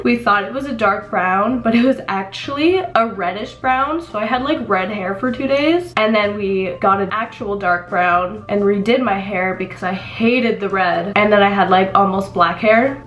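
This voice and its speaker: A woman's voice: medium pitch, fairly bright tone. A nineteen-year-old woman from Canada in a casual, upbeat vlog, a bit sniffly and off-voice from a cold, full of "like" fillers, filmed in her bedroom on a small camera. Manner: chatty, upbeat, lots of "like" fillers. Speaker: vlogger. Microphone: compact camera on a desk at close range.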